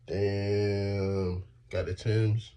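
A man's voice: lowish voice, little pitch movement, extremely slow, warm tone, smooth. An eighteen-year-old man from Texas, chatting casually and rambling to his viewers in a college vlog, talking into a phone camera in a small bedroom. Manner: rambling and slangy, lots of fillers, talks to chat. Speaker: college student vlogger and streamer. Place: small bedroom and bathroom indoors. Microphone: phone camera held at arm's length or propped.

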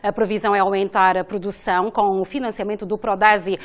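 A woman presenting the news in a crisp, formal manner. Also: medium pitch, flat delivery, quick pace. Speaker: news anchor.

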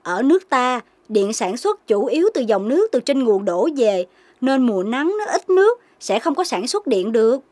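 A woman's voice: a little high, very animated, normal speed, darkish tone, no echo. A woman voicing a caring mother dog in a children's cartoon, motherly and instructive. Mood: patient. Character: caring dog mother, gently scolding and patient. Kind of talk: Vietnamese children's cartoon dubbing.

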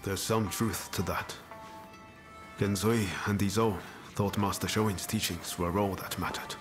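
Man acting an earnest low-voiced young samurai. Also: fairly even intonation, a little slow, music in the background, lightly acted. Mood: reflective. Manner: earnest, reflective delivery. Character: earnest, loyal young samurai, grateful and resolute. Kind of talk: video game cutscene acting.

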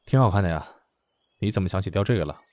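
A man's voice: low voice, animated, fast talker, darkish tone. An actor in an audio drama playing a modern young man who is doting, exasperated and secretly lovesick. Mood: sulky.

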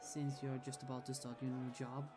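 A woman's voice: a bass voice, flat delivery, brisk. A woman playing a protective, easily annoyed sorceress sister in a fantasy audio roleplay, chatty, affectionate and firm. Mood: reassuring, confiding. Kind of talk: ASMR roleplay acting. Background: music.

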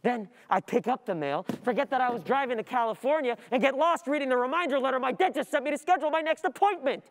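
A young woman playing an overwhelmed, easily distracted student in a theatrical stage monologue, speaking in a halting, confessional way. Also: sits high. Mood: anxious, wry. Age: young adult. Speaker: stage actor.

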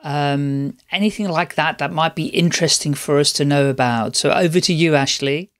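A man's voice: on the high side, moderate pace, a bit bright. A man hosting a podcast, encouraging his guest with open questions. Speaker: podcast host. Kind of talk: casual interview chat.

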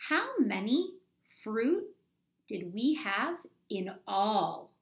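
Animated woman reading to children, high-pitched. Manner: instructional, deliberate, questioning, addressing young children. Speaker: elementary teacher reading aloud. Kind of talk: children's picture book read-aloud with teaching asides. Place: small plain room at home, indoors.

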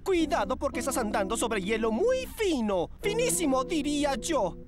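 A woman voicing a stern, sharp-tongued modeling agency boss in a cartoon, emphatic and sarcastic. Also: sits high, big pitch swings, normal speed. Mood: stern scolding. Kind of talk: animated cartoon dub. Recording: music behind the voice.

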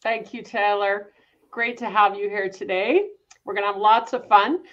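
A woman's voice: mid-range voice, moderate pace, fairly bright tone, dull audio. A woman in her fifties, upbeat and welcoming, hosting a live online class event from her living room over a webcam. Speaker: host. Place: home living room with large windows. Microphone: video call webcam.

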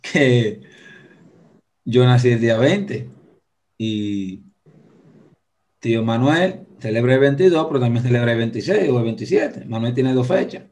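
A man's voice: mid-range voice, animated, measured pace, long pauses, a little mellow. A Dominican man hosting an interview over a video call, asking questions and telling family anecdotes in a chatty, rambling way. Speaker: host. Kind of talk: casual interview chat. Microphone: video call, earphone mic.

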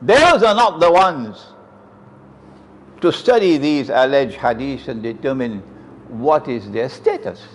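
A man's voice: mid-range voice, animated, takes it slowly. An older man from Trinidad with a Trinidadian accent, giving an emphatic religious lecture on a stand mic, with rhetorical questions to his audience. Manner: emphatic, rhetorical questions, sweeping hand gestures. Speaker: Islamic lecturer. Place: room with green chairs, indoors. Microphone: stand mic close in front.

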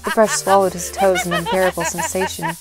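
A woman narrates an audio drama from a script. Her voice is somewhat low for a woman, and music plays clearly behind her as she reads the story. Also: animated, fairly quick, poor audio.

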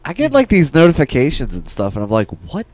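A man's voice: on the high side, wildly swooping pitch, fast, a little mellow. A man co-hosting a casual podcast, rambling and swearing freely as he chats into a close studio mic. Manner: rambling, profane. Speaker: podcast co-host. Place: small studio room. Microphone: close podcast mic with headset.

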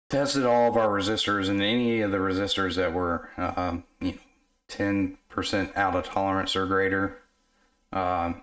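Hoarse man, very low and monotone, easygoing workshop talk with plenty of "uh"s. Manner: relaxed, filler-heavy explaining while working. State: hoarse from illness. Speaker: radio repair technician. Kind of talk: casual workshop narration. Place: workbench in a home repair shop.

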